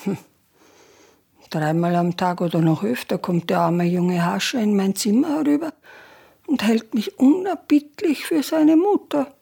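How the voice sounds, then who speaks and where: A breathy, bewildered old woman. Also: medium pitch, warm tone. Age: older. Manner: slow, musing, drifting into whispers. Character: confused elderly woman in a care home. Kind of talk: radio play acting.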